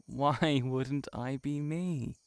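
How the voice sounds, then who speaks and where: A man's voice: medium pitch, clear, resonant tone, no echo, clean studio sound. A man playing a lovelorn shapeshifting monster posing as a friend in an intimate audio roleplay, speaking in an earnest, hesitant, pleading way. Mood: defensive, hurt. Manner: hesitant, halting, emotionally pleading.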